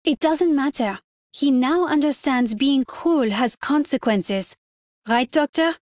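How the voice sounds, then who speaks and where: A woman's voice: sits high, darkish tone. An actress playing a cool, vindictive young woman scientist in a video game, with pointed delivery. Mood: cold satisfaction. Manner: slow, pointed.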